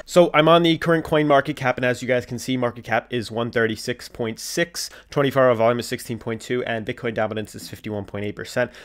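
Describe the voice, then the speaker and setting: A man's voice: medium pitch, fast, few pauses. A man hosting a casual cryptocurrency video, sounding congested from a cold and talking loosely with lots of fillers. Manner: filler-heavy, loose, reads articles aloud. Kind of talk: casual market commentary to camera.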